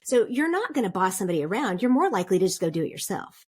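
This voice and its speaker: Middle-aged woman, guest on a remote podcast, animated and chatty, medium pitch. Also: very fast, slightly rough. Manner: animated, laughs often, explains with examples. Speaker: podcast guest, DISC consultant. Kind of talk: casual interview chat.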